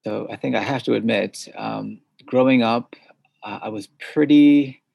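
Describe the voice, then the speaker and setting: Man, thoughtful guest, hesitant pauses, gravelly, dry close sound. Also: medium pitch, dry recording, muffled recording. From suburbs of New York City. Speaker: podcast guest, founder. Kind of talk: interview conversation.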